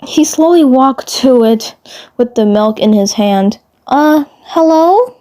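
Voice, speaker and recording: A woman narrating a fan fiction story aloud in a halting way, often stumbling and rereading words. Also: a little high, mellow.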